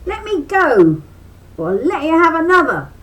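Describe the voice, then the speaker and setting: An older woman voicing a cheeky, quick-tempered male trickster rabbit in a high, shrill voice. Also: animated, darkish tone. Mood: angry.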